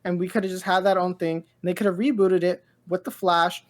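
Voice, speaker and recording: Woman podcast host chatting casually about news, kinda rambly, stops n starts, thinking out loud. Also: lowish voice, steady tone. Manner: rambling, halting, thinking aloud. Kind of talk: casual pop culture news chat.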